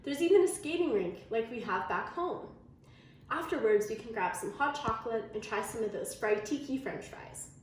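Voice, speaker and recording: A woman voicing a friendly, confident girl in a children's storybook, expressive and encouraging. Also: fairly high pitch, normal speed, distant mic, natural voice. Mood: upbeat. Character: friendly, confident girl, slightly older kid. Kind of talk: children's storybook read-aloud. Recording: very echoey room, faint noise.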